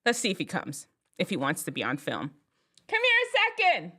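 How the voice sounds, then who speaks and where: Lively chatty woman from Jamaica. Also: on the low side, a little fast, slightly rough. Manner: chatty, storytelling, big swoops up when excited, laughs at herself. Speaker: vlogger. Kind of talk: casual chat to camera.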